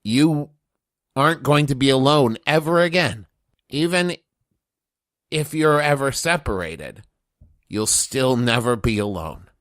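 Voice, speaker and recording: A man playing a loyal, plain-spoken adventurer in a tabletop roleplaying game, speaking in a measured, earnest way. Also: medium pitch, animated, a bit bright, no echo, studio quality. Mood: earnest, comforting. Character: loyal male adventurer, plain-spoken, heartfelt, comforting friend. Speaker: player.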